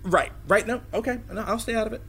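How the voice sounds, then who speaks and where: A man playing a well-meaning, awkward middle-aged father figure in an audio drama, rambling and stammering as he tries to smooth things over. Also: high voice, fast talker, fairly bright tone, a little hiss or hum. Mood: delighted, awkward. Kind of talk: naturalistic audio drama acting.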